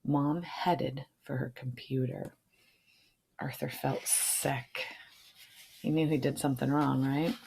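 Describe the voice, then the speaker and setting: A woman's voice: extremely low pitch, fairly even intonation, slow pace, vocal fry, pauses often, darkish tone, a little hiss or hum. A woman reading a children's storybook aloud, adding informal asides and checking in with her listeners. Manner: checks in with listeners using questions.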